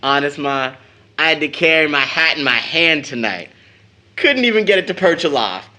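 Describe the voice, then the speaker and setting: A man's voice: fairly high pitch, expressive, moderate pace, sharp tone. A man acting an eager, cheeky, devoted teenage son in an audio drama, boyish and slangy. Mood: proud, excited.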